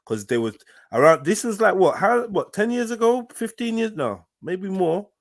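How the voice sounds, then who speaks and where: A man's voice: high-pitched, sing-song, normal speed, vocal fry, no echo, studio quality. A middle-aged man hosting a live panel stream, slangy and rambling, laughing often. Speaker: host. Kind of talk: casual panel chat.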